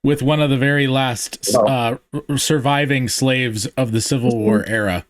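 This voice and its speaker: A man's voice: mid-range voice, fairly even intonation, normal speed, no room sound. A man hosting a casual podcast, telling a story in a rambling way with restarts and tangents.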